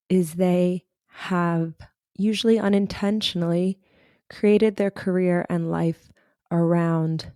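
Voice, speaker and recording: A woman host, a little hoarse from recovering her voice, talking reflectively and personally to listeners as a career coach. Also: lowish voice, warm tone, no room sound, studio quality. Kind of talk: solo podcast talk.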